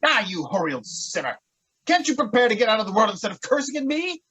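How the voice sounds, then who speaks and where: An actor playing an idealistic, excitable young poet in a radio drama, earnest and theatrical with halting phrasing. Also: male voice, sits high, breathy edge, full character voice. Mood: irritated.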